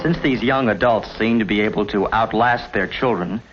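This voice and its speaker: A man's voice: lowish voice, normal speed. Male narrator, American, 1950s promo-film script read, music underneath, muffled vintage soundtrack. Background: background music.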